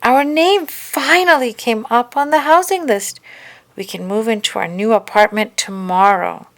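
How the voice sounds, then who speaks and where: Woman playing a practical, unhurried storybook mother. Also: fairly high pitch, fairly bright tone, voice pushed up. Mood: excited. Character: practical, weary but caring mother.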